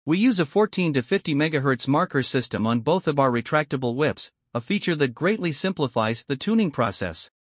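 A man narrates a product demonstration in a scripted, step-by-step instructional style. His voice is slightly high, recorded close and dry as a voice-over. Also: lively intonation, fairly quick, hardly any pauses, dry recording.

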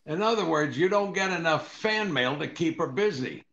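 Gravelly, cranky radio-comedy husband. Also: male voice, a little high, animated, moderate pace, fairly bright tone. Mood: wry. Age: older. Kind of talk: 1940s radio comedy acting. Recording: slightly dull online-call audio.

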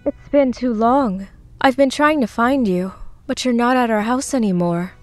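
A woman's voice: on the high side, normal speed, no echo, lightly acted. Actress doing creepy fake-loving impostor lady, controlled, comic dub w music. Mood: false sweetness. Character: uncanny, falsely loving female impostor.

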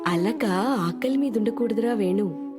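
A woman voicing a gentle mother who soothes and coaxes in a moral storybook tale. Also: mid-range voice, animated, unhurried, over loud music, natural voice. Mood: coaxing. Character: Padma, gentle coaxing mother. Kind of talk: Telugu moral storybook acting.

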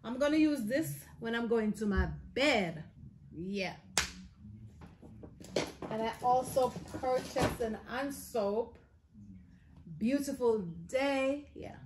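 A woman's voice: fairly high pitch, takes it slowly, long pauses, darkish tone, a little hiss or hum, rough recording. A woman in her thirties with a Jamaican accent, chatting casually to camera in Patois-inflected phrasing as a lifestyle vlogger.

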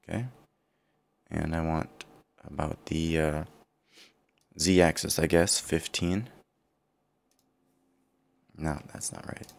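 Low gravelly man with a strained voice. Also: steady tone, slow pace, pauses often. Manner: thinking aloud, self-correcting. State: partly lost voice, low and rough. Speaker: CAD tutorial instructor. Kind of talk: screen-recorded software walkthrough.

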